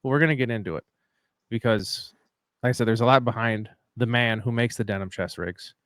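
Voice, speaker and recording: A man's voice: medium pitch, fairly quick, a bit bright, no echo. A man hosting a casual podcast, rambling and joking in a self-deprecating way. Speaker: podcast host.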